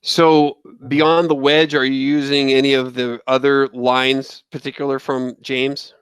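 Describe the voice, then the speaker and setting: A man's voice: mid-range voice, flat delivery, unhurried, fairly bright tone, clear, resonant tone, no room sound. An American man hosting an interview, introducing his guest and asking questions. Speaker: interviewer, trade magazine host.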